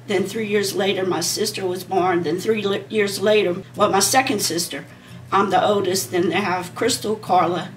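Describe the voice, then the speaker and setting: A woman in her forties, a beauty vlogger, chatting casually and rambling while she does her makeup, her voice a bit hoarse from a sinus issue. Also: mid-range voice, far from the mic, rough recording. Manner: rambling and conversational, talking while applying makeup.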